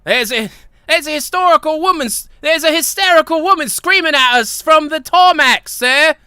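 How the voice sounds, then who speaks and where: A man's voice: very high voice, normal speed, sharp tone, no room sound, voice pushed up, full character voice. A man voicing a deferential male henchman, earnest and dutiful. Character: deferential male henchman reporting to his boss.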